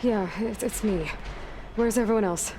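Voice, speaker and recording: A woman's voice: mid-range voice, normal speed, mellow, close to an everyday voice. A woman voicing a determined young warrior leader in a video game, weary but resolute, with music behind her. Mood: subdued, gentle. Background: background music.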